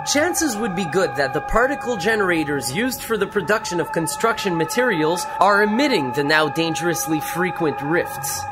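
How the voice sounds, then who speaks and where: A woman reading scripted dramatic narration for a sci-fi radio serial. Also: lowish voice. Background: loud music with guitar.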